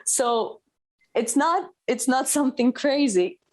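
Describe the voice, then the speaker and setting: A woman's voice: high voice, lively intonation, slow, a little mellow. A woman from Greece, a guest on a podcast, telling stories in a cheerful way and laughing often. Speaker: guest musician. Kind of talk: casual interview chat.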